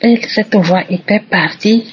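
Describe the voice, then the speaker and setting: A woman's voice: mid-range voice, a little slow, a little hiss or hum. A woman giving an emphatic personal testimony, repeating her phrases, on a muffled recording.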